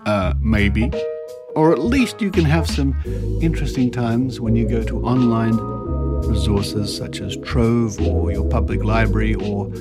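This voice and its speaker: Measured man hosting a podcast. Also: lowish voice, over loud music. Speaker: podcast host. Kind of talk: podcast commentary reading aloud articles.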